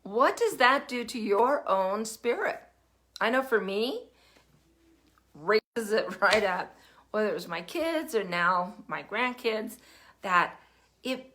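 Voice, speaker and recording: An older woman hosting a casual livestream, chatty and meandering, her throat still rough from a lingering cough. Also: mid-range voice, expressive, takes it slowly. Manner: chatty, warm-hearted, meandering with fillers. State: recovering cough, cough drop in mouth. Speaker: podcast host. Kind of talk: casual livestream talk to viewers.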